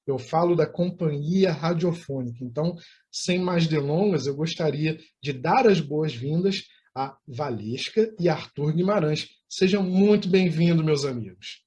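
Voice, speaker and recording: Male host, Brazilian accent, high register, enthusiastic, flowery presenting style. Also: a little slow, darkish tone, dull audio. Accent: Brazilian Portuguese. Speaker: interview host, anchor. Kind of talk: effusive, formal hosting talk.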